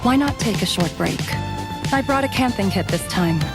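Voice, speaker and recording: Casting: female cyborg centaur warrior for a video game, acted by a woman. Mid-pitched, earnest, composed, loyal, gently teasing. Sits under very loud music. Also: animated, moderate pace, close to an everyday voice. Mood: gentle, caring. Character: devoted, steady female cyborg centaur warrior, playfully eager. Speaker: voice actor in a video game dub. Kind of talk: scripted game character voicelines.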